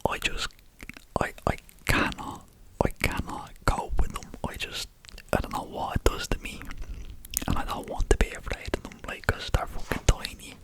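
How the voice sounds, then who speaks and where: A young man with an Irish accent, whispering an animated story to his viewers and swearing casually as he goes. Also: moderate pace, rough recording. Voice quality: whispering throughout. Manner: animated, swears casually, addresses listeners as lads. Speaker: vlogger telling a story.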